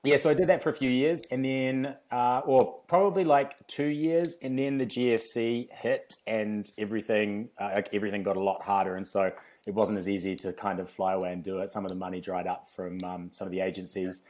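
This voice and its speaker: Low-voiced New Zealand man. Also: steady tone, brisk, darkish tone. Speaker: podcast guest, fitness company founder. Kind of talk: casual interview storytelling.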